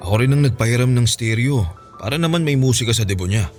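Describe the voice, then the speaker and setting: An actor playing an understanding Filipino father in a radio drama, reminiscing sorrowfully and explaining gently in a Filipino accent. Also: medium pitch, lively intonation, fast talker, soft background music, natural voice. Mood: wistful, gentle.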